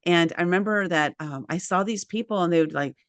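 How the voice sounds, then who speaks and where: Woman host, lower voice, dry close mic, chatty and animated. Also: fairly quick, no room sound. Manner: animated storyteller, acts out quotes. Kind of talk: casual interview chat.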